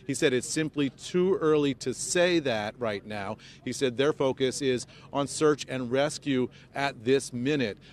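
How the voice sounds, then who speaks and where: A man's voice: measured pace, few pauses. A man in his fifties with an American accent reporting live as a news correspondent into a handheld microphone outdoors. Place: outdoors by a highway among press crews. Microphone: handheld microphone held close. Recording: outdoor live report, rumbling background noise. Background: low rumbling outdoor noise.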